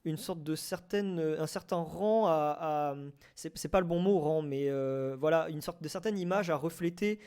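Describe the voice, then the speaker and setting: A man talks casually as a panelist. His voice sits slightly high for a man, and he keeps restarting phrases as he searches for the right word. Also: darkish tone, smooth. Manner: halting, word-searching, repeats himself. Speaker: panelist in a debate. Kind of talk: casual debate talk about audio fiction.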